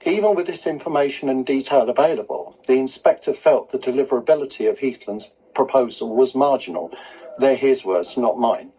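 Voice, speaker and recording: A man delivering a formal prepared speech at a council meeting in measured, official wording. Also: mid-range voice, mellow, slightly rough. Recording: dull lo-fi meeting recording.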